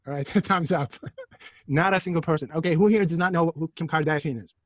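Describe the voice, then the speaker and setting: A man from Turkey lectures a physics class at a rapid clip, his voice sitting a bit high. He jokes, provokes and pelts his students with rhetorical questions. The sound is dry, with no room echo.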